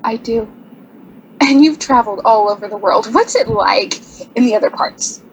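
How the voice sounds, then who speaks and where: A woman's voice: fairly high pitch, animated, moderate pace, slight background noise, low-quality recording. An actress playing a shy young woman sign designer who talks nervously, giggles and apologizes. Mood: earnest. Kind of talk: stage radio drama acting.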